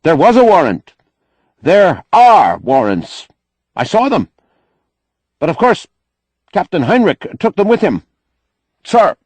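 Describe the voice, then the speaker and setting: A man voicing a flustered, high-strung subordinate military officer who protests to his superior in an audiobook. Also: huge pitch swings, slow pace, long pauses, no room sound, exaggerated voice. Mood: flustered, protesting. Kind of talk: audiobook character acting.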